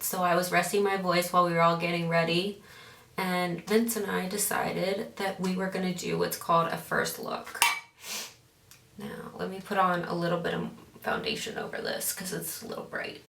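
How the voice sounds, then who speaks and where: A woman's voice: lowish voice, moderate pace, gravelly, fairly bright tone, slight background noise. A woman chatting casually while doing her makeup, often losing her train of thought and circling back. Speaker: beauty vlogger. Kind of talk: casual makeup tutorial chat. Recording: roomy echo, mic at a distance.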